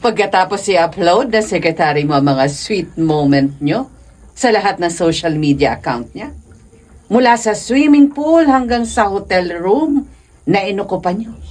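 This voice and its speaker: An actress playing a scornful, sharp-tongued wealthy wife in a radio drama, sarcastic and haughty. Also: female voice, mid-range voice, animated, unhurried. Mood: mocking, sarcastic.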